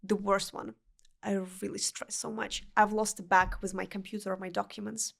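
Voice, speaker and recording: A young woman from Uzbekistan who speaks English with a Russian accent as a non-native speaker, talking earnestly with halting phrasing and restarts as a podcast guest. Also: medium pitch, flat delivery, gravelly, fairly bright tone. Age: young adult. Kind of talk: casual interview chat.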